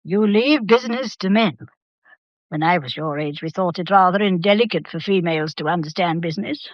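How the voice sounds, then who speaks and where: An actress playing an imperious, sharp-tongued English grandmother of nearly ninety in a radio drama, brusque, haughty and old-fashioned. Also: fairly low pitch, a little fast, no echo, natural voice. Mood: brisk, advising. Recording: dull, muffled radio play recording.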